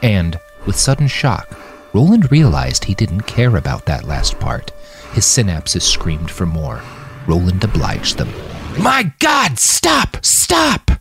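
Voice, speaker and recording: A man's voice: low-pitched, big pitch swings, measured pace, darkish tone, faint music behind. A man reading scripted fiction as a dramatic audiobook narrator, acting out the dialogue. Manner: dramatic, acts out character dialogue. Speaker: author reading his fiction podcast.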